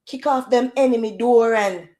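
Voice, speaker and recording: A Jamaican woman hosting a casual livestream chat in a loud, projecting voice, firing off rhetorical questions with easygoing slang. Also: fairly high pitch, normal speed. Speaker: livestream host.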